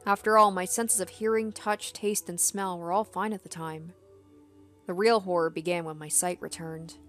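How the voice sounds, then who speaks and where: A woman's voice: lowish voice, fairly even intonation, moderate pace, a bit bright, soft background music. A young adult woman game streamer reading story narration aloud and adding casual commentary.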